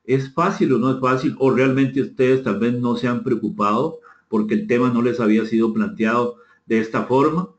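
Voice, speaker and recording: A Costa Rican man with a medium-pitched voice presents an interactive online seminar. He is courteous and conversational, often repeating phrases as he invites participants in. The sound is dull and echoey, as from a reverberant room. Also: normal speed, hardly any pauses. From Costa Rica. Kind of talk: interactive webinar talk, reading out chat comments. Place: indoor room, online session.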